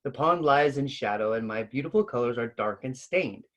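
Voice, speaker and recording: A man voicing a vain, selfish male pond spirit in a storybook, theatrical and quick to take offence. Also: mid-range voice, animated, a bit bright, lightly acted. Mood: panicked outrage. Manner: theatrical, indignant, ranting. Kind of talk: storybook read-aloud.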